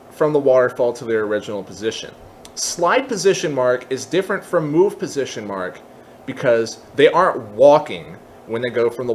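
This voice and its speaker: Casual man explaining, some background noise. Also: mid-range voice. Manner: casual, explanatory, thinking aloud. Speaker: tutorial presenter. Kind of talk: unscripted screen-recorded software tutorial.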